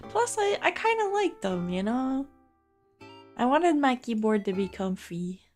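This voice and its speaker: A young woman live-streaming from home, chatting with viewers while she draws, with a soft, quiet voice, playful and giggly, swearing freely, keyboard clicks heard at her close mic. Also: a little high, sing-song, unhurried, soft background music. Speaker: VTuber streamer. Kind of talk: casual live-stream chat while drawing. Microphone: close desk microphone, keyboard clicks audible.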